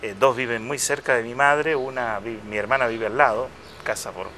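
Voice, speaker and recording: A man in his fifties, a parish priest, talking in a relaxed interview with hesitations and restarts. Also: medium pitch, slight background noise. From Chile. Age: 50s. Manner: unhurried, reflective, with many hesitations and restarts. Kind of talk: casual interview conversation. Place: outdoor restaurant patio. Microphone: lapel mic.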